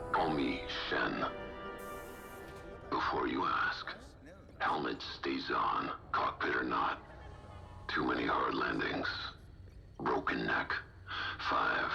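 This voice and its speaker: A battle-worn cyborg pilot for a video game. The voice is extremely slow and harshly bright, with a gravelly rasp. He gives out clipped fragments, gruff and laconic. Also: full character voice. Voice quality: very deep gravelly rasp, forced and broken. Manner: terse, clipped fragments with heavy pauses. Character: gruff, battered, laconic cyborg fighter pilot, helmeted veteran. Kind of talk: video game character acting.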